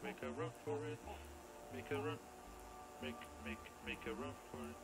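Creaky-voiced man playing a game, wildly swooping pitch. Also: huge pitch swings, normal speed, noisy background. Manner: playful muttering, repeats words and phrases, exclaims at the game. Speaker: gamer recording a let's play. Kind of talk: casual, off-the-cuff game commentary.

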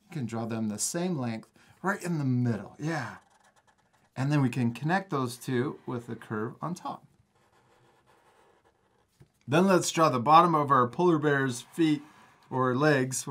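A man's voice: medium pitch, a little slow, long pauses, fairly bright tone. A man hosting a step-by-step drawing lesson for children in a casual, encouraging manner. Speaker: art teacher and host.

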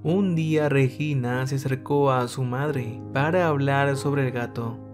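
A man reading a story aloud in an even, steady narrating voice. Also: medium pitch, a little slow. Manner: even, steady reading that speaks every character's lines in the same voice. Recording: faint guitar music behind. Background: soft guitar music.

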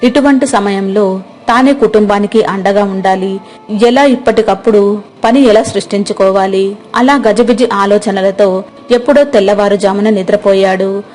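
A woman's voice: medium pitch, moderate pace, a little mellow. A woman storyteller reading a scripted moral story as narration.

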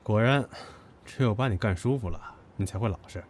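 A man's voice: lowish voice, brisk, darkish tone, slight background noise, lightly acted. An actor playing a possessive, taunting young man who talks crudely and commandingly in an audio drama. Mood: smug, cool. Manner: taunting, demanding. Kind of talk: Chinese audio drama acting.

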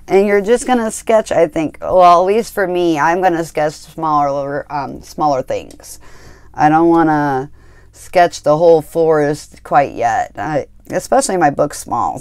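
A woman with a low, smooth voice talks slowly through her gear at a craft table. She rambles casually, stopping to correct herself and filling gaps with ums. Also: a little mellow, no echo. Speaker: art channel host. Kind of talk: casual supply review.

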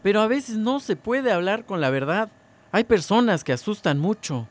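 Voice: mid-range voice, lively intonation, moderate pace, close to an everyday voice. A performer playing a curious young son in a radio drama, asking questions. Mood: curious, hesitant.

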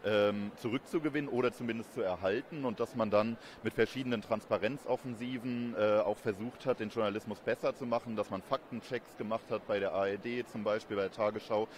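A man in his thirties giving measured, explanatory answers as an interviewed academic expert. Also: fairly low pitch, fairly even intonation, a little hiss or hum. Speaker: interviewed expert, communication professor. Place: busy event courtyard in daylight. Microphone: handheld reporter microphone held close. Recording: outdoor urban ambience behind voice.

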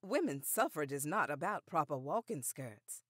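A woman's voice: low-pitched, lively intonation, normal speed, fairly bright tone, breathy edge, dry recording, clean studio sound, heavily acted. An actress playing a poised, formidable older activist lady of the 1910s with formal, precise diction in a radio drama. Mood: firm, measured. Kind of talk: period radio drama acting.

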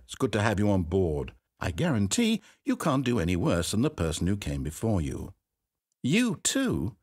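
Sardonic, teasing game narrator. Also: male voice, fairly low pitch, no echo, studio quality. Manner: sardonic, mock-polite, theatrical. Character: smug, teasing male storyteller narrator.

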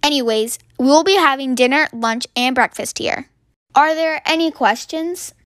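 Creepy bossy camp counselor lady put on by a woman, high voice, secretly evil, dry. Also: unhurried, fairly bright tone, dry recording. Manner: bossy, falsely sweet, scheming. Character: secretly murderous female camp counselor, falsely sweet.

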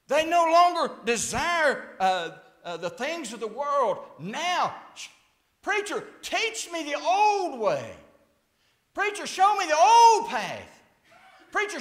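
A man in his sixties preaching a fiery sermon at a church pulpit, swinging from hushed tones to shouts. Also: very high-pitched. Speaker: pastor. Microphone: lapel mic, far camera. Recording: echoey church sanctuary.

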